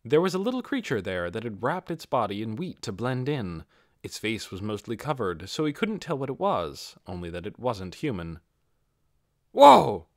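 A man's voice: on the low side, sing-song, normal speed, dry recording, clean studio sound. A man narrating a fantasy audiobook from a written script.

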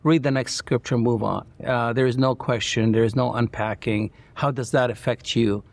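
A man in his sixties from Iraq, a guest telling his faith story in warm, plain-spoken conversation. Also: medium pitch, fairly even intonation, normal speed, gravelly, no room sound. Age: 60s. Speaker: interview guest. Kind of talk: personal testimony in conversation. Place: dark church auditorium, indoors.

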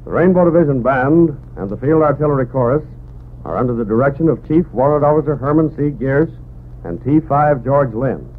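A male radio announcer of the 1940s speaks formally and with measured phrasing. His middle-pitched voice comes through a thin, narrow-band broadcast recording with faint noise. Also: lively intonation. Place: radio studio.

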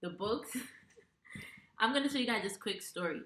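A woman's voice: medium pitch, animated, normal speed, fairly bright tone. A young woman chatting casually in a tag video, reading questions from her phone and answering with plenty of ums. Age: young adult. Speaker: vlogger. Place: small room with a plain wall, indoors. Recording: echoey room, distant mic, faint hum.

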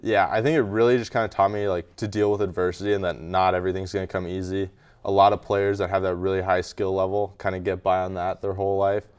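Low-voiced, fast-talking young man. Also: smooth. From Canada. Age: young adult. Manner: relaxed, modest, many fillers like "kinda" and "um". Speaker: college hockey goalie guest. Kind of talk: studio interview answers. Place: small TV studio with brick backdrop. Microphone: lapel mic.

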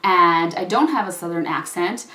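Young woman vlogger, Russian-born, casual and giggly, slightly low voice, echoey room. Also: moderate pace, pushed and bright. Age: young adult. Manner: chatty, laughs often between words. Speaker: YouTuber. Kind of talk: casual chat to camera. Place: small indoor room with patterned wall.

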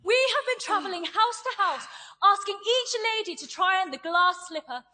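A woman playing a brisk, proper royal attendant in a pantomime, proclaiming crisply and theatrically. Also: extremely high pitch, animated, pushed and bright, full character voice. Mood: formal. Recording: echoing theatre sound with music.

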